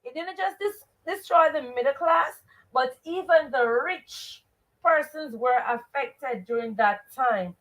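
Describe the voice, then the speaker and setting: A woman with a Jamaican accent and a high voice leads a live reading lesson. She speaks slowly and emphatically, prompting listeners with questions as a teacher would. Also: poor audio. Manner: expressive, emphatic, repeats rhetorical questions and stock phrases. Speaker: teacher and host of a live read-aloud show.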